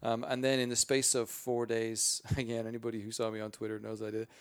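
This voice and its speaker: A man with a booming voice answering interview questions as a spokesperson, energetic and rambling with many restarts. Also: a little fast, clear, resonant tone. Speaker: game franchise spokesperson.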